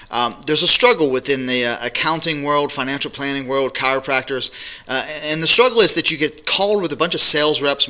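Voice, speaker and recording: A middle-aged man with a very bright, sharp voice gives business advice to his webcam. He talks directly and energetically, with frequent uhs and ums. He sits at a desk in a small home office. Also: mid-range voice. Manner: energetic, direct, many fillers. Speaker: business coach.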